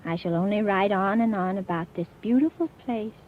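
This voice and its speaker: A woman playing a deranged, gloating murderous patient in a theatrical, halting 1940s radio style. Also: mid-range voice, a little hiss or hum, natural voice. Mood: brooding, secretive. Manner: theatrical, halting, lingering over words. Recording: muffled old radio recording, music underneath.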